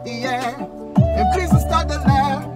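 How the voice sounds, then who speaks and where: A man placing a drawn-out prank order as a drive-thru customer, recorded in a car. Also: very high-pitched. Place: inside a car at night. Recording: distant car recording under loud music.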